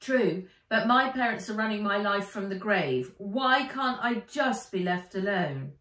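A woman's voice: mid-range voice, animated, a little slow, a bit bright, voice pushed up. A woman voicing a dramatic, exasperated young heiress girl. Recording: echoey room, voice a little far off.

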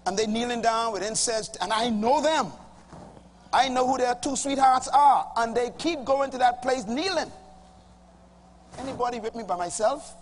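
A middle-aged Bahamian man preaching a sermon to a congregation, emphatic, swinging from loud bursts to low asides. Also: normal speed. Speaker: pastor. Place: large church auditorium with a congregation. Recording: reverberant hall, faint hum.

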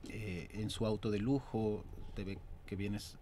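Mexican actor in his thirties, low-pitched, thoughtful interview guest at a desk mic, faint hum of room behind. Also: steady tone, warm tone. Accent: Mexican Spanish. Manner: measured, reflective, speaks in long considered phrases. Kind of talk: studio radio interview. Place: small radio studio. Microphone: desk mic on stand.

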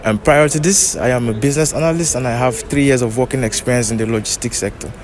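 Young Nigerian man pitching business outdoors. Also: fast, slight background noise. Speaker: business agent in Belgium. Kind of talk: presenting to camera. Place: outdoors by an office building. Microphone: handheld mic.

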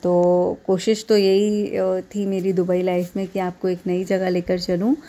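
A woman in her thirties with an Indian accent, narrating a casual vlog in a halting, hesitant way with repeated filler phrases. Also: fairly low pitch, level tone, warm tone. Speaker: vlogger.

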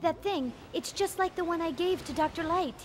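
Very high-voiced plucky robot boy. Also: normal speed, a little hiss or hum. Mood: curious, earnest. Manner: eager, earnest, childlike. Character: earnest, plucky young boy robot hero. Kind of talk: animated game cutscene dubbing.

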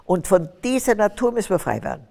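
A woman's voice: mid-range voice, very animated, fast, creaky, mellow. An older woman from Austria, telling her faith story as a guest on stage in a fervent, preaching way. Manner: fervent, preaching, rising to emphatic bursts. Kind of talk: testimony interview on stage. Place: stage with curtains, large hall. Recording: reverberant hall sound.

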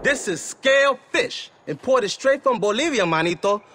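A man's voice: very high voice, expressive, normal speed, background noise. An actor playing a boastful male drug seller who drops Spanish slang in a video game. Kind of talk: video game cutscene acting.

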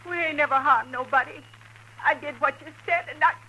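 An actress playing a wary, weary, poor homesteader's wife with a rural Western American accent, guarded and plain, in a 1950s radio western. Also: female voice, sits high, a little fast, pushed and bright. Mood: anxious, accusing. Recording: hissy, thin vintage 1950s radio recording.

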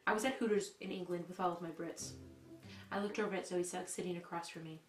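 A young woman reading a mock confession in a deadpan, earnest, dramatic style. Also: fairly low pitch. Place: small room indoors. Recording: roomy echo. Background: clear music.

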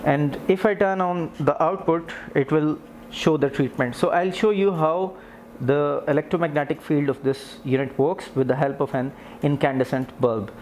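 Higher-pitched man demonstrating, noisy room. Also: fairly even intonation, moderate pace, a little mellow. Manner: plain step-by-step explaining. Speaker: physiotherapy instructor demonstrating equipment. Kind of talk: instructional demonstration, unscripted explanation. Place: indoor clinic treatment room with tiled floor. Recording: clear background noise, camera in clinic room.

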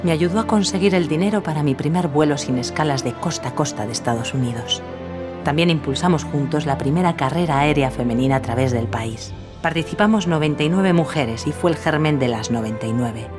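An actress plays a determined woman aviator of the 1930s in an audio drama. She speaks with spirited assurance, and music runs clearly behind her voice. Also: low voice, a little fast, close to an everyday voice. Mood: reflective. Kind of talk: scripted audio drama acting and narration.

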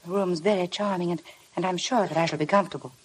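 An actress playing a timid, eager young bride in a 1950s radio drama, speaking earnestly and politely. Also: female voice, on the low side, steady tone, fast talker, slight background noise, natural voice, rough recording. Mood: nervous, polite.

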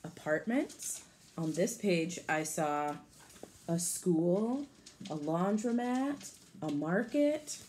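A woman's voice: fairly low pitch, lively intonation, slow, darkish tone. A woman in her thirties reading a picture book aloud to young children like a teacher, expressively, with casual explanatory asides. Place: small room at home, indoors. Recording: home recording with clear background noise.

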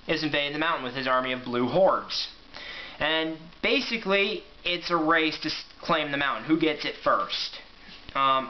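A man in his twenties talking casually as an amateur book reviewer, recorded at home on a camera mic. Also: fairly high pitch. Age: 20s. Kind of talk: casual vlog review with read-aloud passages. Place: small room at home, indoors. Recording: dull home camera audio, faint noise.

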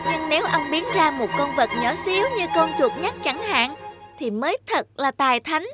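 High-voiced clever talking cat. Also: female voice, animated, normal speed, over music. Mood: sly, flattering. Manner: courtly, eloquent, persuasive. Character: clever, polite, cunning talking male cat in boots. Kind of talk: dubbed cartoon fairy-tale acting.

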